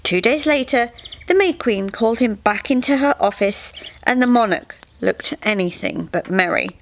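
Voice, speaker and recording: A woman's voice: fairly high pitch, expressive, moderate pace. A woman narrating a scripted comic story expressively, as a storyteller reading live. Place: small theatre, live reading. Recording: faint noise, live theatre reading.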